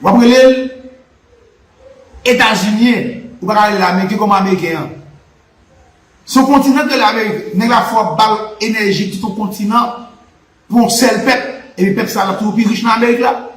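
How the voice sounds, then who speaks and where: A man's voice: high-pitched, normal speed, pauses often, a bit bright, roomy sound, rough recording. A Haitian man with a Haitian Creole accent, hosting a live political and history talk with a fervent, repetitive, preaching cadence. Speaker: channel host.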